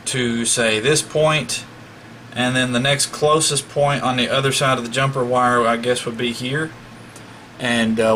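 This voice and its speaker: Man, bright forceful voice, casual repair demonstration, folksy step-by-step explaining, faint background noise. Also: medium pitch. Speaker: hobbyist radio repairer making a demonstration video. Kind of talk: casual hands-on repair walkthrough.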